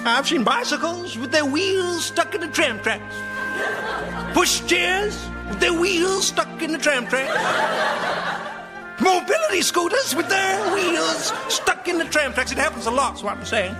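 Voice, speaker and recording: A high-pitched old man who has seen it all. He rambles with mock gravity in a parody film trailer, over loud music. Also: big pitch swings, a little slow, full character voice. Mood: world-weary. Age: older. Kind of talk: parody film drama acting.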